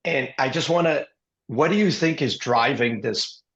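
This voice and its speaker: Hoarse, gravelly male interview host. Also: medium pitch, normal speed, fairly bright tone. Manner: rambling, heavy with ums and uhs. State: hoarse, scratchy voice. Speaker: podcast host and investor. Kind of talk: casual interview talk.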